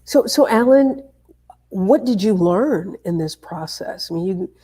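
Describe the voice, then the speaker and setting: A woman hosting an interview, asking questions in a deliberate, encouraging way. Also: mid-range voice, animated, warm tone, husky. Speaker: interview host.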